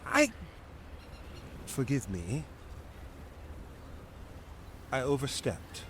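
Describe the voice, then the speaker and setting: An actor in an audio drama playing a resentful, scheming ship's officer, stiff and formal, with insinuating deference. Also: male voice, mid-range voice, wildly swooping pitch, extremely slow, a little hiss or hum, full character voice. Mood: grudging submission.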